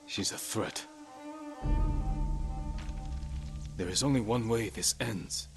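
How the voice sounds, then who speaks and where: A resolute young samurai warrior from a video game. His voice sits fairly low, and he talks gravely in short, measured, stoic phrases. Music plays clearly behind him. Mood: firm. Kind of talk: dramatic video game voice acting.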